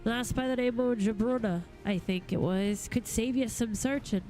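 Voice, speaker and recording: Salty, blunt game character played by a woman, slightly high voice, coarse and grumbling. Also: normal speed, dark tone, faint music behind, natural voice, rough recording. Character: blunt, foul-mouthed game character grumbling about errands. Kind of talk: voiced video game dialogue.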